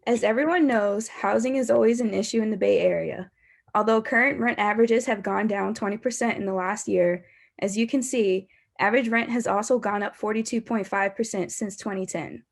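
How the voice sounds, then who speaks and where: A woman's voice: mid-range voice, brisk, vocal fry. A woman in her twenties with an American accent, a university student reading a scripted presentation. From Baltimore, United States. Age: 20s.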